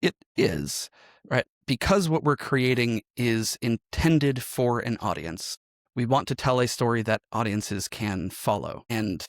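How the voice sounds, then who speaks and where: A man of medium pitch talks as a guest in an interview. He explains things conversationally, often checking that he is being followed. The recording is dry and free of room echo. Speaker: podcast guest, audio drama creator.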